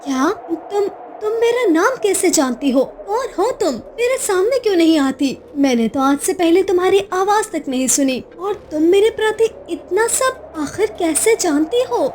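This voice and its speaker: A woman's voice: very high voice, animated, moderate pace, hardly any pauses, a little mellow, slight background noise, pitched above the performer's own voice. A woman voicing a timid, curious orphan village girl in a cartoon story, anxious and stammering, often pleading. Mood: bewildered. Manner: stammering, repeats words anxiously.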